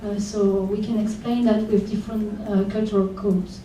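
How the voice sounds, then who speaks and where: A woman from France answers on a conference panel, pausing often with fillers. Her mellow voice comes from a distance in a reverberant room. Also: medium pitch, flat delivery, a little hiss or hum. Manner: hesitant, many fillers. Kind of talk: panel discussion. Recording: echoey room, voice from far off.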